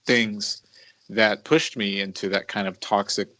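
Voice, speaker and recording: A man in his mid-thirties talking as a podcast co-host in a reflective, confessional way, halting and deliberate, repeating words for emphasis. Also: fairly low pitch, fairly even intonation, bright, forceful tone, dry recording.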